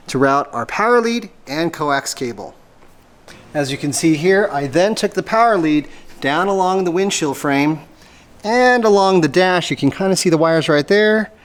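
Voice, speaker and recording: Higher-pitched man giving a hands-on tutorial. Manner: plain-spoken, step-by-step explaining. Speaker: host of a vehicle installation how-to. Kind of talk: casual hands-on tutorial narration. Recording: clear background ambient noise. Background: ambient noise.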